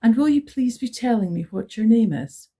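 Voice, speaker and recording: A woman voicing a stately old Highland widow with a rich, powerful voice and a lilting Scottish Highland accent. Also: expressive, normal speed, warm tone, breathy edge.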